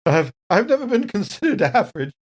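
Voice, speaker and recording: Guy doing a high chatty lady android, swoopy, polite n fluttery, tabletop game. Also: big pitch swings, fairly quick, husky, heavily acted. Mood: kindly, apologetic. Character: genteel, scatterbrained, chatty lady android space tourist. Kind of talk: tabletop role-play acting.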